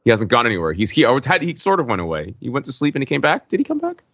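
A man's voice: mid-range voice, sing-song, racing, a bit bright, no room sound, muffled recording. A man in casual podcast discussion, musing aloud and building grand points piece by piece. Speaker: podcast panelist. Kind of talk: casual pop culture discussion.

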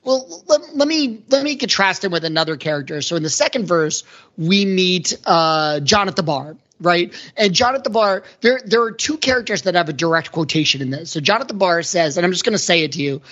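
A man's voice: high-pitched, expressive, brisk, fairly bright tone. A man speaking as a podcast panelist, giving conversational analysis in an earnest, explanatory manner. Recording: dull, lo-fi audio.

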